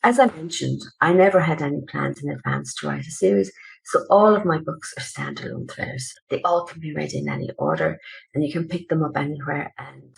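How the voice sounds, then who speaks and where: Gravelly Irish woman author. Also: low-pitched, animated, a little fast, poor audio. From Ireland. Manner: chatty, explaining plot step by step. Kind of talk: casual talk about her books.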